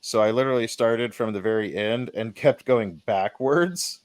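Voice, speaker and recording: A man's voice: on the low side, normal speed, dry recording. A man in his forties from Texas hosting a casual podcast, rambling, laughing easily and swearing. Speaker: podcast host and editor. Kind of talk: casual chat.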